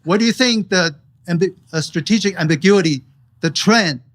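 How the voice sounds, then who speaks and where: A man from Taiwan, asking a question from the audience at a public forum, halting and restarting often. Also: high voice. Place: event hall audience floor.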